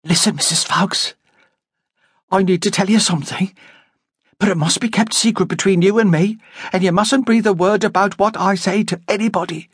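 A man's voice: high-pitched, normal speed, husky, no echo, studio quality, voice pushed up. A man voicing a grieving young wife, earnest and urgent.

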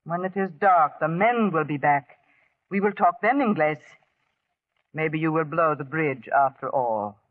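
A woman's voice: deep voice, measured pace, background noise. A fierce Spanish guerrilla woman, blunt and used to giving orders. She speaks with defiant authority in old radio drama style. The recording is thin and tinny. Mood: grave. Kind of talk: 1940s radio acting.

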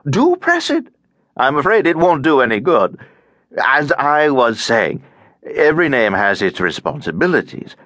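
A man's voice: mid-range voice, sing-song, a little slow, fairly bright tone, dry recording, voice pushed up. A man voicing a cheerful, tiresome, garrulous elderly Russian émigré in an audiobook, droning on with over-familiar chattiness. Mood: chatty, undismayed. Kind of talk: audiobook character acting.

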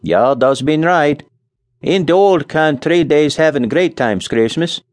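A man voicing a slow, solemn Scandinavian immigrant farmer who speaks halting broken English with a Scandinavian accent. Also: on the high side, lively intonation, pitched above the performer's own voice. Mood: plain, solemn.

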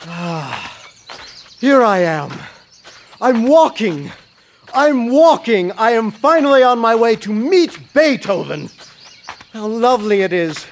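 An actor playing a poor, joyful young German musician in an audio drama, rapturous and declamatory. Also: male voice, extremely high pitch, big pitch swings, slow pace, pauses often, slight background noise, exaggerated voice. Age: young adult. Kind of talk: dramatized audio play acting.

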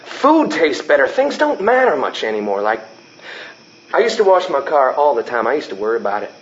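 An actor playing a slow, gentle ballplayer who is dying, in plain, halting country talk. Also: male voice, on the high side, very animated, dull audio. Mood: musing. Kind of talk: radio drama acting.

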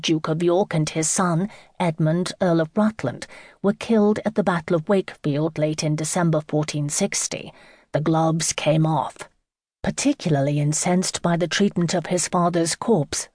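A woman reading a scripted history audiobook in a measured, formal storytelling manner. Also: deep voice, darkish tone, no echo, studio quality. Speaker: audiobook narrator.

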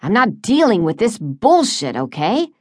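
Woman playing an exasperated low-voiced young businessman. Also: expressive, slow pace, dry recording, studio quality. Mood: angry. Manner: curt, exasperated. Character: wealthy, impatient young businessman, exasperated grown son.

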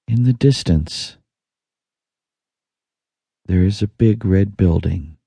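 A deep, very dark and mellow male voice reads a guided meditation. He speaks in hushed, soothing phrases with long pauses between them. The tone is heavily husky and breathy. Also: dry recording, clean studio sound. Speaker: audiobook narrator. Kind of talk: guided meditation, scripted narration.

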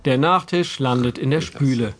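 A man narrating a scripted audio drama in a wry, measured storytelling manner. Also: medium pitch, a little hiss or hum.

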